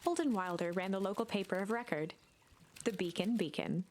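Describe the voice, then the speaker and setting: An actress playing the storybook narrator of a whimsical game, reading scripted narration. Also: female voice, medium pitch, a little fast, a little mellow, a little hiss or hum, lightly acted. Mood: storytelling. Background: game music.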